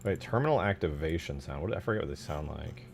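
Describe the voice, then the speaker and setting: Low male voice, lively swings in pitch, casual streamer chat, slangy, thinking aloud. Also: fast, vocal fry, soft background music. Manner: informal, slangy, swears casually. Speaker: game modder streaming their work. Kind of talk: casual livestream chat while working.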